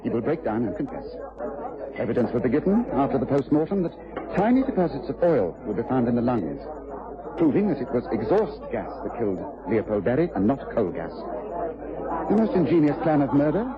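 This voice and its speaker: An actor playing a shrewd, methodical English gentleman detective, precise and commanding, in a mid-century radio drama style. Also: medium pitch, sing-song, a little fast, a crowd in the background, natural voice. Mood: assured, accusing. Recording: dull, muffled vintage radio recording.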